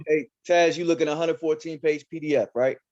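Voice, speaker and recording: A man's voice: a little high, steady tone, quick pace, darkish tone. A man chatting casually as an actor between readings at an online table read. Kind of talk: casual chat. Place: video call. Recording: dull, lo-fi video call.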